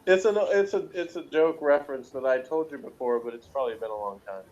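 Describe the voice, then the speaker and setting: A man's voice: mid-range voice, fast, a little mellow, lo-fi sound. A man co-hosting a review show in casual, joking chat with a teasing manner. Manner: teasing, jokey.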